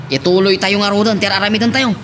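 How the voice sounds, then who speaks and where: A man's voice: high voice, fairly even intonation, fast talker, a bit bright, slight background noise. A man playing a cheeky, teasing young farmer's child in an Ilocano audio drama. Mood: mocking, amused.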